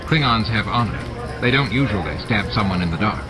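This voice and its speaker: A man voicing an earnest, precise male space station doctor in a measured, clinical manner. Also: lowish voice, expressive, music in the background, close to an everyday voice. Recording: noisy audiobook with added sound effects.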